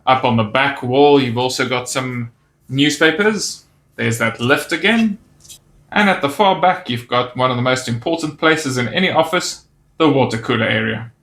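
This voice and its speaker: A man's voice: medium pitch, normal speed, a bit bright. A man narrating a casual toy set review, pointing out details with easy enthusiasm. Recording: roomy echo on the voice.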